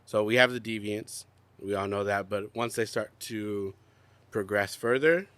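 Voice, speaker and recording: A man's voice: on the low side, measured pace, a bit bright, clean tone, dry recording. A young adult man co-hosting a podcast outdoors, chatting casually with many fillers, still coughing and congested. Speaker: podcast co-host. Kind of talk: casual chat.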